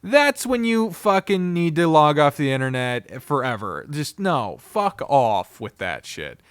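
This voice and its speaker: A man giving an unscripted, casual review, blunt, profane and opinionated, often halting, digressing and trailing off mid-sentence. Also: a little high, sing-song, smooth, no echo, studio quality. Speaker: visual novel reviewer.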